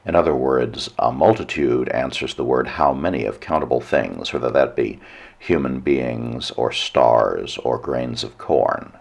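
Hoarse radio host guy, super low rumbly voice, slow dry lecturing. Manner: measured, lecturing with dry asides. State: hoarse, nearly lost voice. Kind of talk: solo radio talk monologue.

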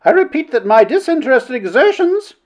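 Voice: sits high, big pitch swings, fairly quick, darkish tone, voice pushed up. A narrator voicing a self-important, quarrelsome woman in a story, declaiming with haughty, melodramatic indignation. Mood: pompous indignation.

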